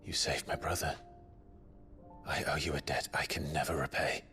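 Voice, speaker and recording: An actor playing a grave, protective noble knight in a fantasy game, measured and earnest with a low, husky murmur. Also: male voice, fairly even intonation, pushed and bright, faint music behind. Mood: solemn, earnest. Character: grave, deep-voiced noble knight, protective elder brother. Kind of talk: dramatic fantasy game acting.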